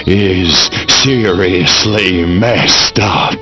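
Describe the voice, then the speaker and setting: A man's voice: low-pitched, drawn-out words, bright, forceful tone, faint music behind, exaggerated voice. A man playing a smug, wisecracking little alien invader in a video game cutscene, talking in sarcastic slang. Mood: cocky. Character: cocky small gray male alien invader, wisecracking and destructive.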